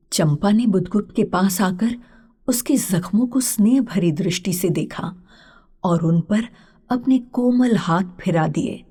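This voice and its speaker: A woman narrating a literary story as a scripted storyteller, in a husky, breathy voice. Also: medium pitch, dark tone.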